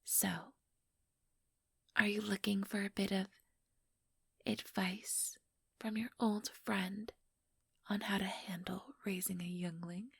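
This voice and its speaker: A woman voicing an ambitious, teasing vampire in an ASMR roleplay, breathy and whispery, with a sugary, mocking condescension. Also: medium pitch, level tone, slow pace, long pauses, dry recording, clean studio sound, heavily acted. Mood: smug, scheming. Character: ambitious, teasing female vampire, smugly scheming.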